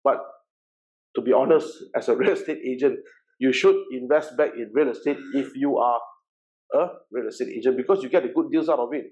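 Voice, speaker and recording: A Malaysian-accented man in his forties talks a workshop audience through his background at a middle pitch. He is chatty and loose, repeating words and tossing in "okay" checks. The sound is a little dull and lo-fi, like an online session. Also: brisk, darkish tone. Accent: Malaysian English. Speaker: workshop presenter and real estate agency founder. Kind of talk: informal seminar presentation.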